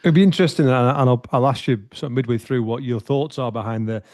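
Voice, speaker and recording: A man hosting a podcast, asking questions in a halting way and repeating words as he goes. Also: mid-range voice, a little mellow, dry recording, clean studio sound. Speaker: podcast host. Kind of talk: interview questions.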